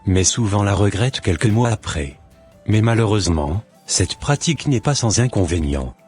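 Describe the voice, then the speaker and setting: Man doing a cartoon doctor, middle-aged, preachy, deep, husky, soft background music. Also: normal speed. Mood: lecturing. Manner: calm, lecturing and preachy. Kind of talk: amateur cartoon voice acting.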